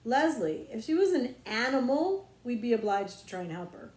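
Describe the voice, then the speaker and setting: A woman's voice: fairly high pitch, very animated, normal speed, a little mellow. A woman voicing an earnest, unsure young country boy with plain, colloquial speech in a children's storybook. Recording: roomy echo.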